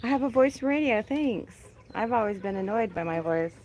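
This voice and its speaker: A woman chatting casually on a live stream from her chicken coop outdoors, talking to her birds, with a calm, disinterested-sounding voice. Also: on the high side, brisk, slight background noise. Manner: chatty, laughs often, talks to her birds. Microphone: phone held in hand.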